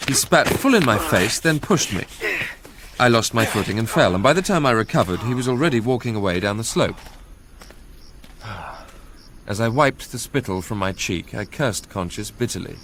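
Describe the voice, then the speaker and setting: An actor playing a young Englishman who recounts his past in a measured, literary way for a radio drama. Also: mid-range voice, lively intonation, a bit bright, slight background noise. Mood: tense, bitter. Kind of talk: radio drama first-person narration.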